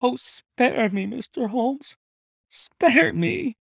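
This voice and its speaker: Man doing a desperate pleading noblewoman, high and frantic, very lively swings of pitch, slightly gravelly, dry sound. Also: slow pace, dry recording, full character voice. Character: desperate aristocratic young wife pleading.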